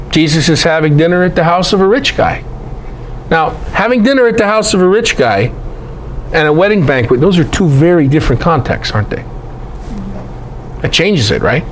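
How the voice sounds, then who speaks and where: A man's voice: on the high side, animated, moderate pace, pauses often, a little hiss or hum. A man giving an informal, irreverent scripture lecture in an emphatic way, often putting rhetorical questions to his listeners. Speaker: Bible teacher, presenter.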